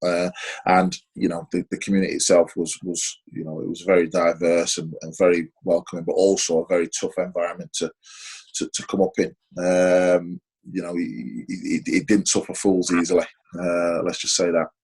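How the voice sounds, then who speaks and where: A deep, low-pitched man from Sheffield with a husky edge to his voice. He's in his forties and talks through his answers haltingly, circling back with plenty of fillers and restarts, in a relaxed interview. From Sheffield, England. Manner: hesitant, many fillers and restarts. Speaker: interview guest, former footballer.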